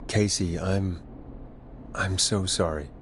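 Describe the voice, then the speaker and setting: An actor plays a caring late-night radio man in a game drama. His pitch is average and his delivery earnest and measured. A little noise sits behind him. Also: expressive, lightly acted. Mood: concerned. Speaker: radio host.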